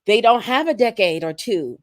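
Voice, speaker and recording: A hoarse woman, sick with a respiratory virus, making earnest, emphatic points as a guest on a livestream conversation. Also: mid-range voice, expressive, moderate pace, dry recording, clean studio sound. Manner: earnest, emphatic, building points through repetition. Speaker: guest commentator.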